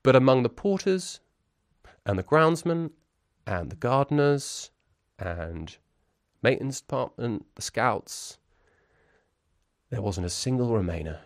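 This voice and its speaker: A man's voice: mid-range voice, animated, a little slow, long pauses, no room sound, clean studio sound. A man in his forties who grew up in Brussels, speaking as an interview guest. He talks in a reflective, articulate and wry way, full of restarts and fillers.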